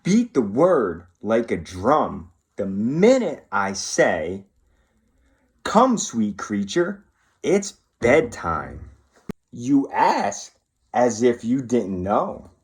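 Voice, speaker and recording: Slow, animated man talking to children. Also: medium pitch, breathy edge. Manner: slow, expressive, addressing children directly. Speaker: police officer reading to children. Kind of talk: children's read-aloud and talk to pupils.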